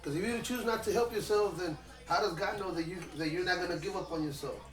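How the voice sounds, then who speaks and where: A man who speaks as his rattles off his story at a fast clip, loose and emphatic, full of slang and swearing. His voice sits a bit high for a man. He sounds echoey and set back from the mic, with music clearly playing behind him. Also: recorded from a distance. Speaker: rapper. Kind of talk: casual interview chat.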